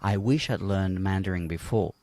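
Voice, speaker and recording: A man's voice: sits low, moderate pace, dark tone, dry recording. A man from Colombia speaking emphatically on a livestream panel, asking rhetorical questions. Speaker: panel guest.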